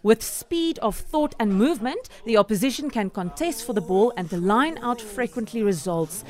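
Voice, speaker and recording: A woman's voice: fairly high pitch, lively intonation, normal speed. A South African woman whose first language is Afrikaans, co-hosting a radio show and carefully reading out definitions in a playful way. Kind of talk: casual radio banter. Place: radio studio.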